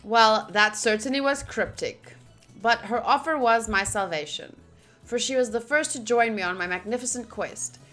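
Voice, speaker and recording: Bright, high-voiced woman streaming a visual novel. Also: moderate pace, faint music behind. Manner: expressive, gushing asides between read passages. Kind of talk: game playthrough, reading narration aloud with live reactions.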